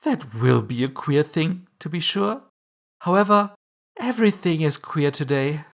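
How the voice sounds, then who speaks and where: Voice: medium pitch, lively intonation, measured pace, creaky, pitched above the performer's own voice. A narrator voicing a polite, eager little storybook girl who talks in an earnest, chatty, apologetic way. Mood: rueful.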